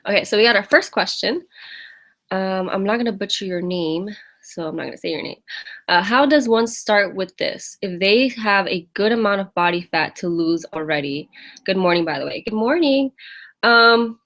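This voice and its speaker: A woman's voice: medium pitch, expressive, moderate pace, a bit bright. Chatty woman hosting a live stream. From United States. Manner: chatty, laughs often, greets viewers by name. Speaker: fitness vlogger hosting a live Q&A. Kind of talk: casual live-stream chat.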